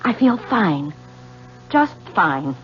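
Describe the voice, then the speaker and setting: A woman's voice: fairly low pitch, big pitch swings, very slow, exaggerated voice. A middle-aged mother with brittle composure, her intonation rising and falling widely as she evades. It has the dull, faintly hissy sound of 1970s radio drama. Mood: strained, hurt. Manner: evasive, clipped replies. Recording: muffled, hissy old radio broadcast with hum.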